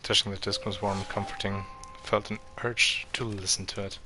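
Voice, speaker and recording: Low flat-voiced man muttering through a game. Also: moderate pace, over music. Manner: mutters reactions while reading game text aloud. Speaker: let's play gamer. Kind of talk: casual gameplay commentary.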